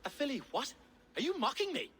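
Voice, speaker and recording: A man's voice: very high-pitched, very animated, fairly quick, fairly bright tone, exaggerated voice. An actor playing an aggrieved, fussy male Saxon stable owner in a video game, emphatic and full of wounded dignity. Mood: angry. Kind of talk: dramatic video game acting.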